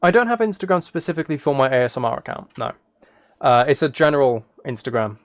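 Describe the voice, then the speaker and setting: A man's voice: mid-range voice, very animated, fast talker, no echo. A man chatting casually on a livestream as he plays a game, rambling and thinking aloud. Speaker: live streamer. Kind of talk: casual livestream chat while gaming, reading chat.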